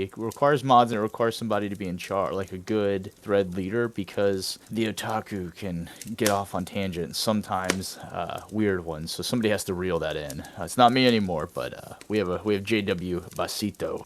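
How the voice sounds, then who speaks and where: Low-voiced man, chatty. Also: normal speed, hardly any pauses. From Southern United States. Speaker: podcast co-host. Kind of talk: casual chat.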